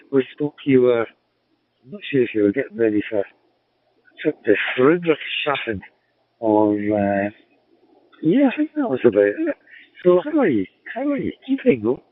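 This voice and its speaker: A man's voice: mid-range voice, wildly swooping pitch, moderate pace, pauses often, slightly rough, no room sound. A man with a Scottish accent chatting in a friendly way as an amateur radio operator, heard over a radio link. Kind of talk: casual radio contact. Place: in a car. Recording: tinny, narrow-band digital radio link.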